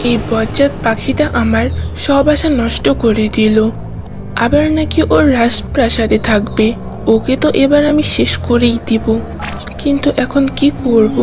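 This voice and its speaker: A jealous, scheming mother from a fairytale cartoon, acted by a woman, with a highish voice. She plots spitefully as if to herself, with music clearly heard behind her. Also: a little slow, natural voice. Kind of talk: cartoon fairytale villain acting.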